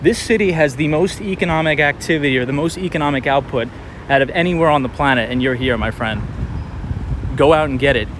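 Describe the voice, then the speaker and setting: A man's voice: on the high side, fairly quick. A man in his twenties from New York City, chatting casually and encouragingly with livestream viewers while out walking on a city street at night. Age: 20s. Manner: animated, encouraging, talks to viewers by name. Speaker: livestream host. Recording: outdoor street traffic noise.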